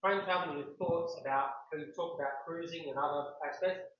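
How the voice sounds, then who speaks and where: Guy in the crowd asking a question, loud, far from the mic, really echoey and noisy. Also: fairly high pitch, steady tone, brisk, muffled recording. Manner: loud, emphatic. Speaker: audience member asking a question.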